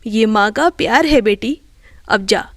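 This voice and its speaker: A woman voicing a dead mother's gentle, reassuring spirit in a tender storybook tale. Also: on the high side, animated, measured pace, no echo, studio quality, pitched below the performer's own voice.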